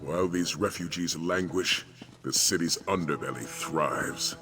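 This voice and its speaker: Fantasy game mentor, deep voice, noble, formal and earnest, over clear background music. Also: male voice, unhurried, pushed and bright. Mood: grave, resolute. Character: solemn, noble male warrior mentor, courteous and grave. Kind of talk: fantasy video game dialogue.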